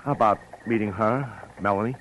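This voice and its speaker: A man's voice: on the low side, moderate pace, fairly bright tone, dull audio, lightly acted. An actor playing a nervous, shy man in a classic radio drama, hesitant and stammering. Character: nervous, shy, halting lovelorn male friend. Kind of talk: 1940s-50s radio drama acting. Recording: noisy old radio recording.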